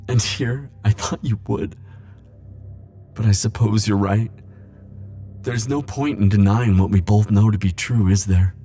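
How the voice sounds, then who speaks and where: Man acting a slow, low-voiced lovestruck immortal. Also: fairly even intonation, warm tone, a little hiss or hum. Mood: tender, teasing. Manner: breathy pleading, hesitant, drawn-out tender phrasing. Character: lovestruck immortal man, hopeless romantic, yearning and tender. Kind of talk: intimate ASMR romance roleplay.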